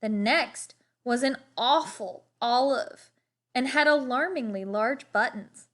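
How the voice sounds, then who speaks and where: A woman reading a children's storybook aloud expressively, with deliberate, dramatic emphasis on words. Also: fairly high pitch, a bit bright.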